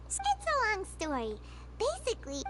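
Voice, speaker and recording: Very high, squeaky childlike fairy companion. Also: female voice, huge pitch swings, normal speed, exaggerated voice. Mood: curious, chatty. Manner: chirpy, exclamatory. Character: small chirpy childlike floating fairy companion, friendly and nosy. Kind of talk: video game voice acting. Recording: game audio under loud noise.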